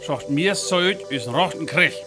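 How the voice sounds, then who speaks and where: Man acting a higher-voiced army captain. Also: animated, moderate pace, music in the background, close to an everyday voice. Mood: confident, brisk. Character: army captain, confident field commander reporting live, growing alarmed. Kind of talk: radio drama acting.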